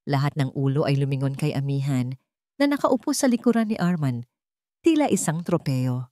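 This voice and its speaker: A woman narrates a scripted drama in a low-pitched voice. The recording is dry and close, with hardly any room sound. She delivers it as a storyteller reading dramatic fiction. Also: dark tone, dry recording.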